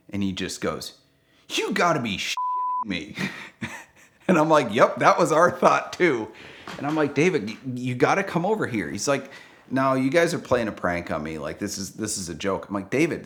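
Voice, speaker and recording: A man with a low voice telling a car story casually, acting out the dialogue as he goes. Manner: animated, acts out the dialogue in his story.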